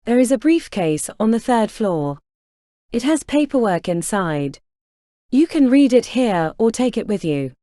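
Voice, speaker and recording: A performer voicing a stern, high-pitched woman receptionist. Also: sing-song, unhurried, warm tone, dry recording, clean studio sound. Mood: stern, officious. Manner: clipped, formal, disapproving. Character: stern, stiff, officious female receptionist. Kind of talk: audiobook character dialogue.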